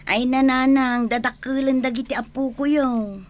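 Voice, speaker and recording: A woman's voice: high voice, fairly even intonation, moderate pace, close to an everyday voice. A woman with a Filipino accent playing a harried, nagging wife and mother in a radio drama. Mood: apologetic. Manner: exasperated, scolding. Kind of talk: Ilocano radio drama acting.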